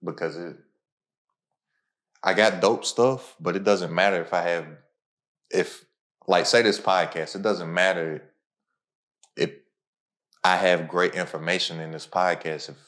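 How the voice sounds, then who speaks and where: A man hosting a podcast in an energetic, informal, motivational way. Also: a little slow, long pauses. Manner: energetic, informal, pitches his own program. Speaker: podcast host. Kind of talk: casual motivational podcast talk.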